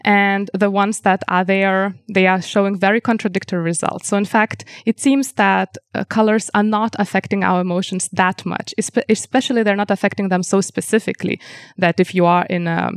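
A woman whose first language is Lithuanian, answering interview questions as a researcher in a measured, explanatory way with frequent ums. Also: medium pitch, fairly even intonation, no room sound, clean studio sound. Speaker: researcher guest.

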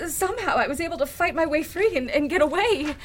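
A woman acting as a shaken lady in a vintage-style radio mystery. Her voice is high and her phrasing halting and frightened. There is faint noise on the recording. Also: expressive. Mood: shaken, hesitant. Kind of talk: Golden Age style radio drama acting.

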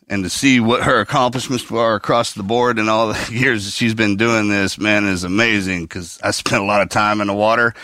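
A man's voice: lowish voice, bright, forceful tone, no room sound. A man co-hosting a podcast, emphatic and earthy, laughing between his thoughts. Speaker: co-host, former Navy SEAL. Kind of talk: casual podcast chat.

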